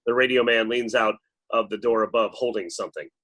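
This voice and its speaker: A man's voice: fairly low pitch, steady tone, a little fast, a bit bright. A man reading stage directions as dramatic scripted narration at a table read.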